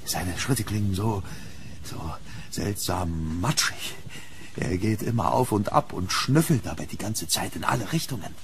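A man playing a fearful, hunted gentleman in a German horror radio play, speaking with dramatic urgency. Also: lowish voice, animated, measured pace, background noise. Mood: grim. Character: hunted, fearful English gentleman haunted by a demon.

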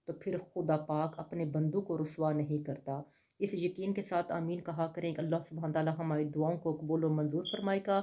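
A middle-aged woman vlogging on a phone camera, chatting as she walks through a shop and speaking softly and prayerfully at home. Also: sits low. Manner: chatty and lively walking around a shop, then soft and prayerful at home. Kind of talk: casual vlog then devotional closing. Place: street and supermarket, then indoors at home.

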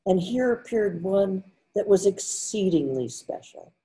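An older woman narrating a readers theater story in a measured way over a video call. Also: medium pitch, animated, warm tone, rough recording. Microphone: video call webcam.

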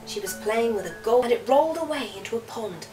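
Woman softly reading a storybook, slightly distant. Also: a little high, expressive, fast. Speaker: storybook reader. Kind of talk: soft storybook narration read aloud. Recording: distant mic, music behind the voice. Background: music.

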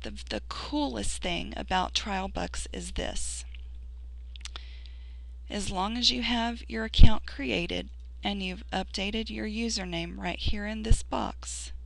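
Gravelly-voiced woman, hoarse, encouraging. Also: medium pitch, slow pace, a bit bright, low-quality recording. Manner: earnest, encouraging, with fillers and restarts. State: losing her voice. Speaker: sponsor giving a screen-recorded tutorial. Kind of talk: casual tutorial voice-over.

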